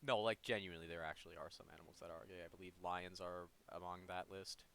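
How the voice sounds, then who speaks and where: A man's voice: low-pitched, little pitch movement, quick pace, gravelly, a bit bright, a little hiss or hum. A man co-hosting a casual live stream, dropping wry, dry remarks. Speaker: stream co-host.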